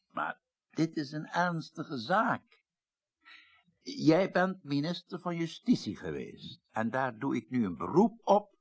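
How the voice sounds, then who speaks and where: An actor playing an earnest, discreet middle-aged official investigating a case in a radio drama, with a husky voice and Netherlands Dutch accent, formal and insistent. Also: male voice, mid-range voice, heavily acted. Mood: insistent, grave. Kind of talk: 1980s radio drama acting.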